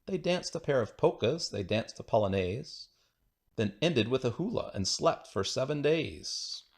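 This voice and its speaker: A man's voice: mid-range voice, lively intonation, measured pace, creaky, slight background noise. A man reading children's rhyming poems aloud in a playful, singsong, rhythmic way. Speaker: children's book reader.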